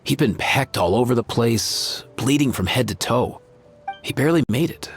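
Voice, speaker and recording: Caring young creature doctor for a video game, played by a man, medium pitch, relaxed slangy delivery, light music behind. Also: moderate pace, lightly acted. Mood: warm, reminiscing. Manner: easygoing, slangy, sincere. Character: laid-back, caring young male creature veterinarian. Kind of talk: video game character acting. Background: faint music.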